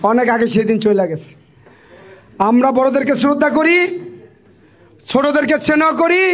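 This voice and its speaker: A man with a Bangladeshi accent giving a loud, declamatory political speech in a challenging way. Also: very high voice. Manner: declamatory, challenging, rhetorical questions. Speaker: political party activist.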